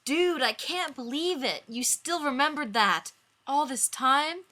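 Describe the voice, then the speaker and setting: A woman's voice: sits high, unhurried, bright, forceful tone, pitched above the performer's own voice. A woman voicing a brash, affectionate, easygoing man in a fan fiction reading, casual and emphatic. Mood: amazed, affectionate. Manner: casual, emphatic, dude-ish.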